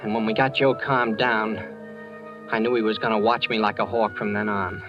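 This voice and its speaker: A man's voice: on the low side, flat delivery, normal speed, natural voice. An actor playing a nervous, evasive young American man with a boyish, hesitant, earnest manner in 1940s radio drama style, sometimes narrating. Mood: confiding. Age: young adult. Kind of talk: 1940s radio acting with first-person narration. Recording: dull old radio recording with music.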